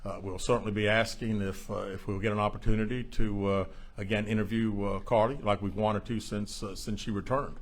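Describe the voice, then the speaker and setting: A man speaking as a police chief at a press briefing, answering questions in a halting, measured, plain-spoken way. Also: lowish voice, level tone.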